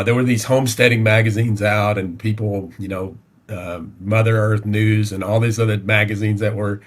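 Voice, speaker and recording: Podcast guest: middle-aged Southern US man, lower-pitched, folksy and halting, recounting his life conversationally. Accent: Southern American, Tennessee. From Tennessee, United States. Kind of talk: conversational personal storytelling.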